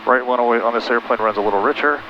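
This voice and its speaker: A man's voice: mid-range voice, rapid-fire, highs cut off. An American man, middle-aged, patiently coaching someone step by step as a flight instructor, in a casual way over a headset. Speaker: pilot instructing a student. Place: small twin-engine plane cockpit in flight. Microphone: aviation headset intercom. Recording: noisy cockpit headset, engine drone.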